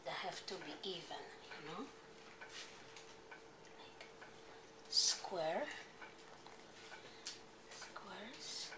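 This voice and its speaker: An older woman with a Polish accent hosts an informal home cooking demonstration, speaking in simple, halting non-native English phrases. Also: fairly low pitch, lively intonation. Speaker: home cooking show host. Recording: noisy amateur home video.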